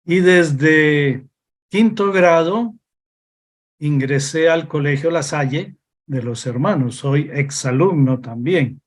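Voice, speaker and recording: An older man from Costa Rica, a religious brother, answering interview questions slowly and reflectively with frequent pauses. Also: a little high. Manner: slow, reflective, hesitant with pauses. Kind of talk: interview over video call.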